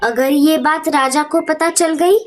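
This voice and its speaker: A woman's voice: sits high, fairly even intonation, takes it slowly, a little hiss or hum. A woman voicing a feisty, sassy bird auntie who scolds, in a children's cartoon. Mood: scolding. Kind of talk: Hindi children's cartoon dialogue.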